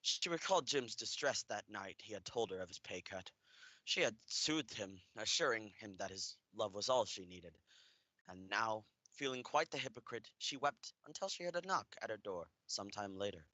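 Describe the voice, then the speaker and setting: A man's voice: lowish voice, animated, normal speed, pushed and bright, dry recording. A young man narrating a radio play as a scripted storyteller. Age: young adult.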